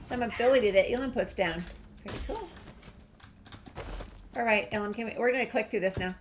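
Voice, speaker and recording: A woman's voice: on the high side, a little fast, a little hiss or hum. A woman hosting a casual game playthrough, musing aloud as she goes. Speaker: gaming host.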